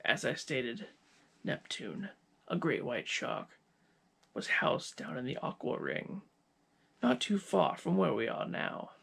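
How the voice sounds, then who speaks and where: A man playing a cold, gloating scientist villain in an ASMR roleplay, speaking with deliberate, menacing pauses in a gravelly, husky voice. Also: mid-range voice, big pitch swings, a bit bright, full character voice. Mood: smug, lecturing.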